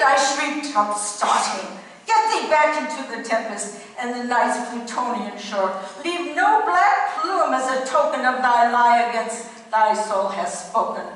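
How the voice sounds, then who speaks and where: In a big reverberant hall, far from the mic, a woman acts out a haunted, anguished narrator of gothic verse. The delivery is slow, grave and theatrical, in a high-pitched voice made for a radio play. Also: lively intonation, fairly bright tone, heavily acted. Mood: shrieking fury. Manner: grave, declamatory, drawn-out delivery. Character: tormented narrator of The Raven, anguished, dramatic. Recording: very echoey hall from afar, faint noise.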